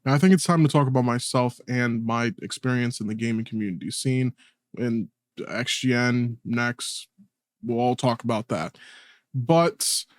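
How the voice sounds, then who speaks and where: A man's voice: medium pitch, darkish tone, no echo, clean studio sound. A man speaking earnestly and colloquially in a personal video essay, as the creator narrating it.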